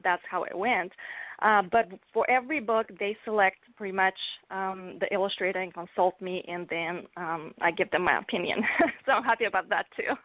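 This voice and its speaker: A Bulgarian-born woman, a native Bulgarian speaker, tells her story as an interview guest in a relaxed, rambling way, with frequent ums and small laughs. Her voice sits at a middle pitch. The sound is dry and thin, like a phone call. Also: dry recording. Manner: chatty, laughs often, many ums and restarts. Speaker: guest, children's author. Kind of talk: casual interview chat. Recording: dry tinny phone-like line.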